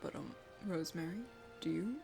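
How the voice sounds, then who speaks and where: A woman's voice: deep voice, fairly quick, gravelly, over music, voice pushed down. A woman playing an arrogant, blustering but secretly bashful young man from a dating game. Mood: shy, hopeful. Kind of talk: dating game character read-aloud, ASMR.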